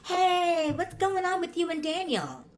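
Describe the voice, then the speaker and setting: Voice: very high voice, very animated, measured pace, slight background noise, pitched above the performer's own voice, heavily acted. A storyteller voicing a breezy, meddling friend with a mincing, noncommittal, diplomatic way of talking, as an acted quote. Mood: coy, coaxing.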